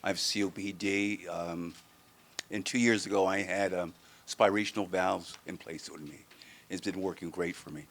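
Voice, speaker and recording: A man from Philadelphia, a patient advocate, introducing himself softly and hesitantly. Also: sits low, steady tone, moderate pace, a little hiss or hum. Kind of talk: brief self-introduction.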